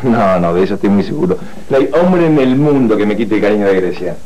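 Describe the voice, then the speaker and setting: Stubborn young man, Argentine accent, quick pace, warm dark tone, soap opera. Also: lowish voice, expressive, a little hiss or hum, poor audio. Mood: intrigued. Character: earnest, stubborn young husband, trusting in love.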